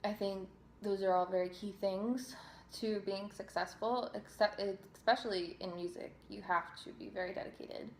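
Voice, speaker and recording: A woman's voice: mid-range voice, fairly even intonation, normal speed, slight background noise. A young woman from New Jersey, a singer, answering viewer questions in a casual, chatty way with fillers and restarts. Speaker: singer and vlogger. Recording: roomy echo.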